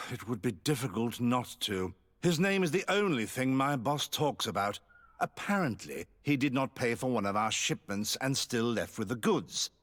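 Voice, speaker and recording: A man's voice: medium pitch, expressive, normal speed, fairly bright tone, in a large hall. A man playing a weary, disgruntled alchemist in a video game, complaining with resignation. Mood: resigned, aggrieved. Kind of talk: video game dialogue acting.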